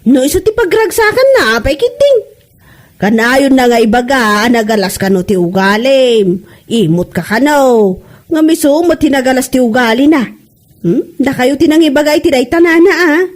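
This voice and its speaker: A woman acting a gossipy, meddling friend and neighbour, chatty and animated, in a comedy drama. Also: high-pitched, moderate pace.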